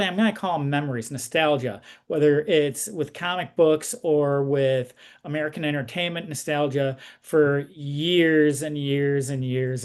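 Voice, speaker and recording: A man hosting a conversational interview show, speaking in a halting way and circling back as he explains. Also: fairly high pitch.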